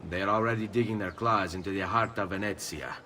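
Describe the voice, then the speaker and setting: Very deep, solemn assassin. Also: male voice, fast talker, bright, forceful tone, a little hiss or hum. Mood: grave, respectful. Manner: solemn, courteous. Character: grave, respectful male Italian assassin. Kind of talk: dramatic game cutscene acting.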